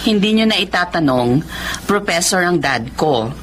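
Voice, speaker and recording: A woman's voice: lowish voice, expressive, normal speed, slight background noise, lightly acted. An actress in a Filipino drama playing a generous, well-off woman who helps young students and mixes English into her Tagalog. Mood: warm, encouraging.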